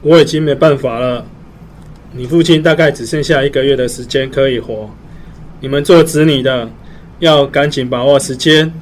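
A man's voice: medium pitch, moderate pace, slight background noise, close to an everyday voice. Cold, stern older male doctor played by an actor. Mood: cold, grave. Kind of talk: radio drama acting.

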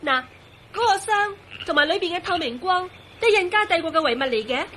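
High-pitched anxious young Cantonese woman. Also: animated, brisk, sharp tone, a little hiss or hum, exaggerated voice. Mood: earnest, insistent. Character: anxious, devoted young woman turned transparent, quick to reason. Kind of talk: radio drama acting.

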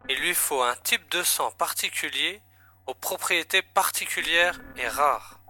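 A man's voice: a little high, measured pace, sharp tone, soft background music. A man playing a grave, urgent agency chief in a science-fiction audio drama, serious and pressing in manner. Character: grave, urgent male agency chief briefing his agent.

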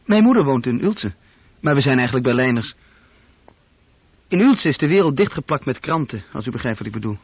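A man's voice: medium pitch, sing-song, fairly quick, long pauses, husky, no room sound. An actor playing an earnest, slightly awkward young office worker courting a girl in a radio drama. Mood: matter-of-fact. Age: young adult. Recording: narrow-band old radio play recording, very dry.

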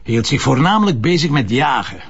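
An actor playing a headstrong, defensive police commissioner in a crime drama, with a Flemish accent and a colloquial, insistent way of talking. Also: male voice, mid-range voice, sing-song, brisk, breathy edge, dull audio. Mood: matter-of-fact. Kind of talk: naturalistic TV crime drama dialogue.

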